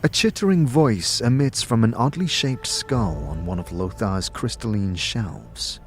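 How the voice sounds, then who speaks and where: A man's voice: fairly low pitch, expressive, measured pace, faint music behind. A man narrating a fantasy audio drama in the second person, with dramatic, atmospheric storytelling.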